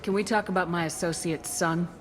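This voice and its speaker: A woman's voice: fairly low pitch, steady tone, fairly quick, a little hiss or hum, natural voice, low-quality recording. A woman playing a tough, commanding military officer in a video game, speaking in a terse, firm and direct way. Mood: businesslike.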